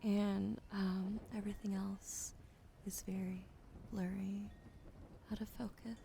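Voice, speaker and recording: A woman streaming ASMR in a soft, soothing whisper, greeting and thanking her chat. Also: medium pitch, level tone, slow pace, creaky. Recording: noisy hiss behind the voice.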